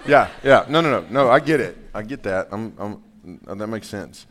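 A man, casually hosting a couples panel at a live event, relaxed and chatty. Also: fairly low pitch, normal speed. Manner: relaxed, chatty, laughs often.

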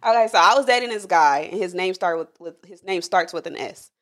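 A woman's voice: fairly low pitch, expressive, a little fast. A woman of thirty hosting a podcast, asking questions and telling frank stories with frequent giggles. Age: 30s. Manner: frank, giggly, quizzes her guest. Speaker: podcast host. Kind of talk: casual chat.

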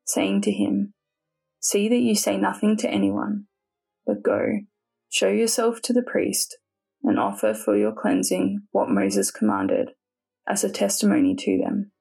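A woman's voice: mid-range voice, gravelly, warm tone, husky, rough recording. A woman, a religious sister, reading a gospel passage aloud. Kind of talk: scripture reading.

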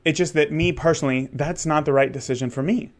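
A man's voice: a little high, quick pace. A man of thirty, a wedding photographer, talking candidly in a rambling solo monologue with many restarts. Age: 30s. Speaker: wedding photographer and podcast host.

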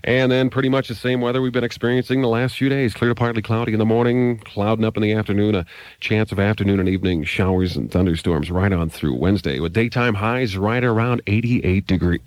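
Man, low voice, rock radio DJ, 1980s announcing style, dry sound, hissy cassette. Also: fairly even intonation, fast talker, no room sound, rough recording. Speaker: radio DJ and interviewer. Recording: hissy old cassette tape.

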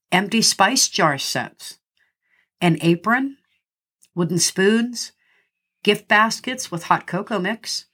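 A woman's voice: fairly low pitch, a bit bright. Congested middle-aged woman hosting a podcast. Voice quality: slightly nasal. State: congested from a cold. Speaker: podcast host. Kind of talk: scripted podcast monologue.

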